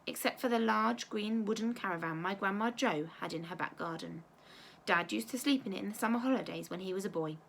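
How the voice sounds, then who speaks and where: A woman teacher reading a children's storybook aloud with expressive narration. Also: mid-range voice, creaky.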